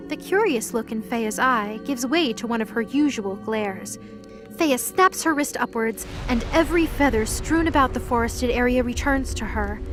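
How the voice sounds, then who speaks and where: High-pitched woman narrating an audio drama.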